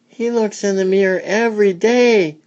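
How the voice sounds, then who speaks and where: A man's voice: sits high, moderate pace, a little mellow, highs cut off, voice pushed up, lightly acted. A man voicing little girl kittens, cheeky tattletales in a storybook. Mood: cheeky tattling.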